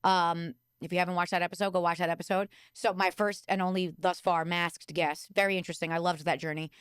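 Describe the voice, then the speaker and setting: A woman in her thirties hosting a podcast, with a loud, powerful voice and playful, teasing banter. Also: lowish voice, little pitch movement, quick pace, clean tone, no room sound, studio quality. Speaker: podcast host. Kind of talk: casual chat.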